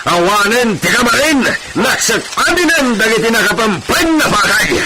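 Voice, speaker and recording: An actor with an Ilocano accent playing a hot-tempered, imperious landowner and husband in a radio comedy-drama, exclaiming indignantly. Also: male voice, very high voice, very animated, normal speed, a loud crowd, exaggerated voice, poor audio. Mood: furious, alarmed.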